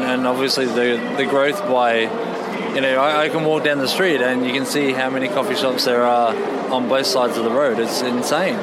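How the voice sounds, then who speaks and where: A man from New Zealand talks casually in an interview, chatty and restarting his sentences. He is recorded out on a busy street, with loud traffic noise behind him. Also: mid-range voice. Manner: chatty, many restarts and fillers. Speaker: coffee machine developer.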